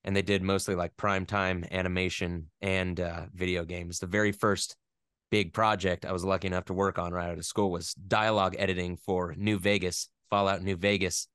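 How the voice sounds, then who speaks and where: A young American man from the West Coast talking about his work in a casual interview, rambling and animated, often laughing at himself. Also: low-pitched, normal speed, smooth, no echo, clean studio sound. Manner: rambling, animated, self-deprecating. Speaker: voice actor guest.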